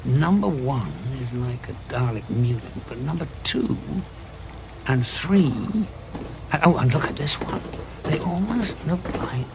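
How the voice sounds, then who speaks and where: An actor playing an inquisitive, grave and compassionate doctor in a sci-fi audio drama, explaining things in a measured way. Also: male voice, medium pitch, animated, creaky, noisy background. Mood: grave, intrigued.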